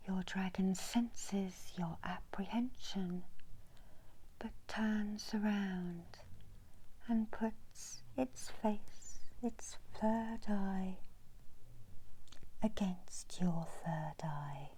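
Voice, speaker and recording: A middle-aged woman narrating an ASMR guided meditation in a slow, hushed, soothing manner, often slipping into whispers. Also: mid-range voice, low-quality recording. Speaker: guided meditation host, Reiki healer. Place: indoors in a small room. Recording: clear background noise and ambience.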